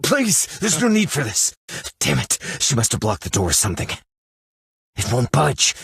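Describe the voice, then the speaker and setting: An actor playing a brooding detective who narrates a dark mystery game story in a grave, measured, dramatic manner. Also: male voice, on the low side, animated, vocal fry, slightly rough, dry recording, studio quality, full character voice. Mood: urgent, alarmed.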